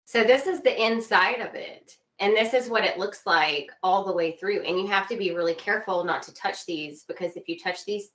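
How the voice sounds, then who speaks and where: A woman guest on a video call, chatting informally and telling anecdotes in an animated way. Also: mid-range voice, moderate pace, hardly any pauses, a little hiss or hum. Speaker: podcast guest. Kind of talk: casual chat, telling travel anecdotes.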